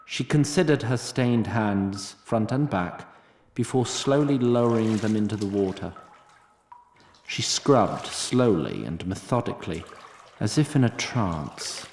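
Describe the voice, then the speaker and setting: A man narrating a scripted fantasy story in a measured, literary way. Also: lowish voice, animated, a little mellow. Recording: roomy sound, faint noise.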